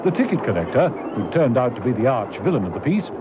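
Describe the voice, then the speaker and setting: A man's voice: mid-range voice, big pitch swings, quick pace, very noisy. A man narrating a recap of a 1960s radio serial in a dramatic, scripted style. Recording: dull old radio recording. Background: loud music.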